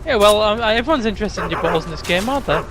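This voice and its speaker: A man's voice: high-pitched, lively intonation, very fast. A British man bantering as a game co-commentator, full of wry, jokey asides. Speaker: let's play co-commentator. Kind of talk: casual banter over gameplay. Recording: clear game noise behind voice. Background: video game sound.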